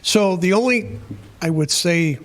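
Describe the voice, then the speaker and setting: A man's voice: sits high, measured pace, a bit bright. A man answering audience questions on a panel as an emergency preparedness trainer, explaining at length with many restarts.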